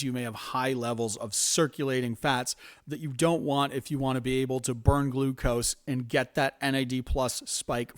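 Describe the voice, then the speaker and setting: An American man with a mid-range voice presents health information informally. He explains methodically and in a measured way, and the sound is dry with very little room to it. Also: mid-range voice, dry recording. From United States.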